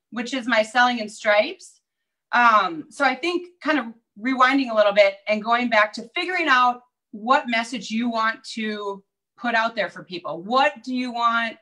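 A woman's voice: fairly high pitch, pushed and bright, rough recording. A woman with a Minnesota accent, a real estate agent chatting upbeat as a guest over a video call. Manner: chatty, upbeat, many fillers. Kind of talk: casual interview chat.

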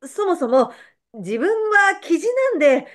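A woman's voice: high voice, big pitch swings, a little slow. A woman playing a meek, apologetic pheasant employee in a comedic radio drama, speaking hesitantly and with polite deference. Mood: aggrieved.

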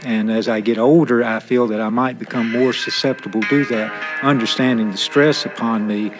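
A man's voice: mid-range voice, fairly even intonation, normal speed, music in the background. A man with articulate, formal phrasing, reading and reflecting earnestly. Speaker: prison education program student.

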